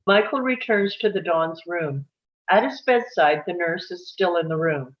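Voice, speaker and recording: A woman's voice: on the low side, animated, measured pace. A woman reading stage directions as scripted narration for a live table read, on dull audio.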